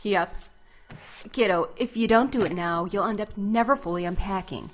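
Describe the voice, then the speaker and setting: A woman's voice: mid-range voice, normal speed, soft background music, natural voice. A woman acting in an audio drama as a protective, teasing mother who fusses affectionately and speaks bluntly. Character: protective, teasing mother of a blind college student.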